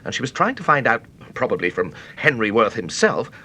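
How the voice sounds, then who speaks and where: Excitable, husky English widower, Mister Nelson. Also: male voice, medium pitch, brisk, exaggerated voice. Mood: urgent, suspicious. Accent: British. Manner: well-spoken, excitable. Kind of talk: radio mystery drama acting.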